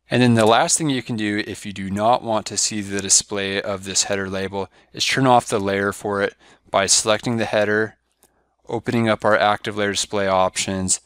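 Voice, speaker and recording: A man giving a step-by-step software tutorial over a screen recording, walking through each click in a steady, instructive way. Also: on the low side, smooth, dry recording, clean studio sound. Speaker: software trainer.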